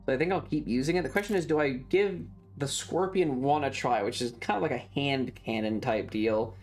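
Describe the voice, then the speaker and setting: A woman's voice: a bass voice, fairly quick, a little mellow, soft background music. A woman giving casual gameplay commentary as a streamer, offhand and thinking aloud. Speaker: game streamer.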